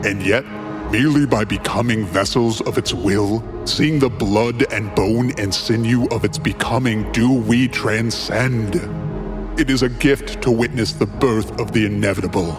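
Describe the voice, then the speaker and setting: A man playing a solemn, grandiose cult prophet in an audio drama, speaking in an ominous, measured manner. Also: lowish voice, music in the background, pitched below the performer's own voice. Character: solemn male cult prophet preaching destiny and truth.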